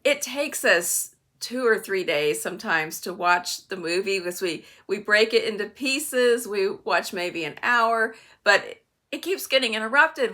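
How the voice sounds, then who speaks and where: Bright-voiced older woman, casual book vlogger. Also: mid-range voice. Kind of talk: casual chatty book vlog.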